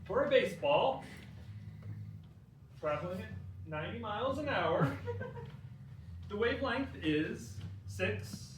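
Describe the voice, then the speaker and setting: Man, high-pitched voice, slow mock-dramatic stage reading, halting pauses, noisy theater. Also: expressive. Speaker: performer giving a dramatic reading. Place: indoor theater, live performance. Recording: noisy, echoey theater, recorded from far off.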